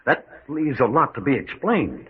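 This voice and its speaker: An actor playing a tough, insistent adventurer, blunt and demanding, in an old radio mystery serial. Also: male voice, medium pitch, sing-song, moderate pace, husky. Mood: wary, probing. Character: tough, insistent male adventurer interrogating his captors. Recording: noisy, dull old radio recording.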